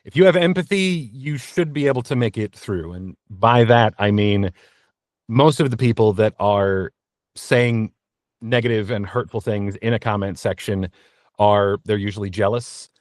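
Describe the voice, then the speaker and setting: A man's voice: lowish voice, normal speed, no room sound. A man answering interview questions as a guest, casual and candid, often thinking aloud in informal language.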